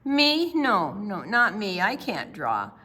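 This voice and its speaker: A woman's voice: mid-range voice, wildly swooping pitch, takes it slowly, bright, forceful tone, exaggerated voice. A woman reading the part of a shy, self-doubting little boy in a picture book, hesitant and emphatic in denial.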